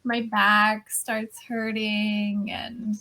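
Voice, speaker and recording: A woman's voice: medium pitch, little pitch movement, drawn-out words, bright, forceful tone. A woman tutoring English in casual chat over a video call, chatty and patient, often laughing as she explains pronunciation. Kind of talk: casual conversation class. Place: standing at home during a video call.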